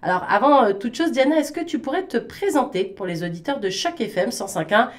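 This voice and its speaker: A woman's voice: on the high side, expressive, a little fast. A woman interviewing a guest on radio in a casual, chatty and informal way.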